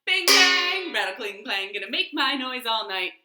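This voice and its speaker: A woman voicing a mischievous, noisy little boy from a children's story, chanting in a loud sing-song. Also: fairly high pitch, very animated, moderate pace, loud music behind the voice. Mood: gleeful, rowdy. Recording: roomy, echoey home recording.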